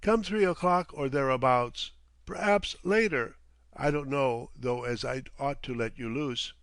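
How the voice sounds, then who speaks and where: A man voicing a gruff, mocking sea captain in an audiobook, with a Cockney accent, dropped aitches and a needling, sardonic way of teasing. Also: medium pitch, a little slow, fairly bright tone, no room sound. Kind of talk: audiobook character voice.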